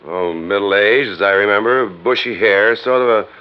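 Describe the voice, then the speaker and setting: An actor playing a mild, hesitant hotel desk clerk in a 1950s radio drama. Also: male voice, on the low side, normal speed, clean tone, lightly acted. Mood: mild, obliging. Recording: dull, noisy vintage radio recording.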